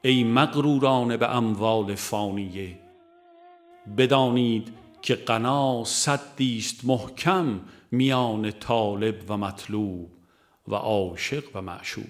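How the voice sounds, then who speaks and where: Man reading devotional prose over music. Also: on the low side. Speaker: audiobook reader. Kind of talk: scripted devotional narration.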